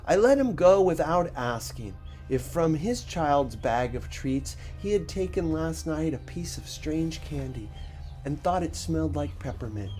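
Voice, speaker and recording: A man reading a first-person story expressively, with a measured, dramatic delivery. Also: on the high side, mellow. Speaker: audiobook narrator.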